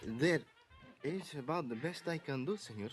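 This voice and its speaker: Man playing lively Latin manservant, higher-pitched. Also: natural voice. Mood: polite, gentle. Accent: Mexican or Spanish-speaking Latin. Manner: deferential, halting English. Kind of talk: old-time radio drama acting. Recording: vintage radio broadcast, music behind.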